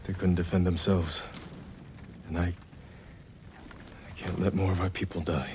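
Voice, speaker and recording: An actor voicing a grave, terse, resolute samurai warrior bent on vengeance in a cinematic video game. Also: male voice, low-pitched, flat delivery, moderate pace, a little hiss or hum, lightly acted. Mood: solemn.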